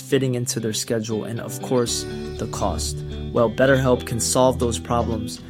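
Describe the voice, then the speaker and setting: A man reading a scripted commercial as an announcer. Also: mid-range voice. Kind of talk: scripted ad read. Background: plucked guitar music.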